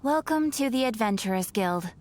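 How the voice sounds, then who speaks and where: A woman's voice: on the high side, moderate pace, slight background noise, lightly acted. A woman playing a composed, courteous female guild receptionist in an anime video game, speaking formally. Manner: polite, formal, even-tempered.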